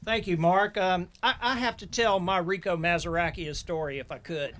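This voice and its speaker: A man's voice: high voice, moderate pace, a bit bright. A middle-aged man giving a folksy welcome speech as master of ceremonies.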